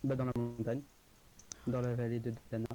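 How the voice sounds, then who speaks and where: Young man gaming, excited and chatty. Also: mid-range voice, no echo, low-quality recording. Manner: excited, quick exclamations while playing. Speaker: gamer. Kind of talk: casual gaming chat.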